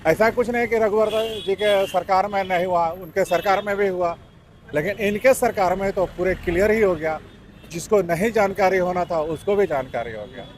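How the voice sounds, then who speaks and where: A man India speaks his mind in a street interview. His voice is somewhat high and he repeats phrases for emphasis. Faint traffic noise surrounds him. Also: moderate pace. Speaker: member of the public being interviewed. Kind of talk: spontaneous opinion in a street interview. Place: outdoors in an urban area.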